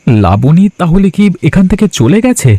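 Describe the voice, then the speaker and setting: A man voicing a worried cartoon husband searching for his missing wife, earnest and mellow. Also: a little high, very animated, moderate pace, dry recording.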